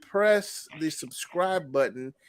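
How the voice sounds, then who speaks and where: A man's voice: a little high, lively intonation, slow pace, no echo. A man hosting a casual livestream talk show, chatty and greeting viewers by name. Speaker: show host.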